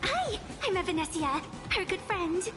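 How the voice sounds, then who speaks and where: An extremely high, sweet young fox girl from an anime game. She gushes and pleads theatrically, drawing out her words with big swoops in pitch. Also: big pitch swings, unhurried, over music, exaggerated voice. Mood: bright, polite.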